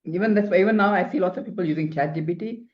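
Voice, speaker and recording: A woman's voice: lowish voice, very fast. A middle-aged woman from Singapore, a marketing consultant giving advice as an interview guest, rambling with many restarts. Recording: dull lo-fi sound.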